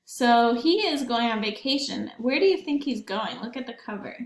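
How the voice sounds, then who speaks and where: A woman's voice: on the high side, expressive, normal speed, reverberant. A woman teacher reading a picture book aloud to children, often stopping to ask them questions. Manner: warm, questioning, child-directed.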